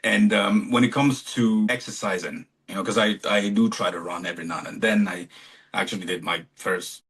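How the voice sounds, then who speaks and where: A man's voice: on the low side, steady tone, moderate pace, fairly bright tone, husky. A man from Cameroon hosting an interview, talking casually and loosely, with many fillers. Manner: casual, chatty, lots of fillers. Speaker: podcast host.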